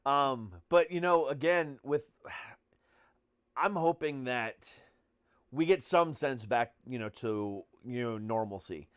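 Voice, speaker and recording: Man, American, mid-range voice, dry close sound, casual podcast host, halting phrasing. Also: mid-range voice, smooth, no echo. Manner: halting, repeats and reworks his phrases. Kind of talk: casual chat.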